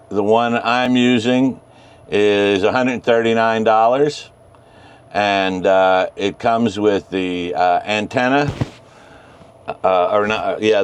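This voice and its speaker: Slow-talking man giving a hobby tutorial. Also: fairly low pitch. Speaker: amateur radio hobbyist presenter. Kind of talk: informal screen-share tutorial.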